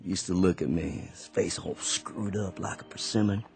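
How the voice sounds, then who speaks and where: A soft-spoken veteran in a radio play, low and gravelly, plain folksy talk. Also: male voice, steady tone, normal speed, over music. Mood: gentle. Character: gentle, weary man home from war, folk craftsman. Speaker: actor in a radio drama. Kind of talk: radio drama acting.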